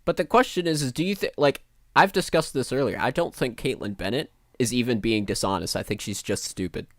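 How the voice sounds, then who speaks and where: A man's voice: mid-range voice, a little fast, no room sound, studio quality. A man with a nasal voice giving blunt, sarcastic and profane live reaction commentary as a streamer.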